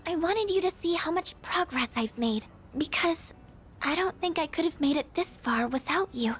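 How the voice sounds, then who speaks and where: Game role: shy, sincere schoolgirl, high pitch, gentle and earnest, slightly hesitant. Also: animated, normal speed. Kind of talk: video game voice acting.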